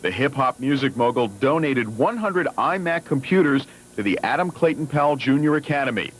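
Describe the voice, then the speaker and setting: A man's voice: medium pitch, lively intonation, fairly quick. A man reading a scripted television news report as a reporter's voice-over. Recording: slightly noisy old TV news tape.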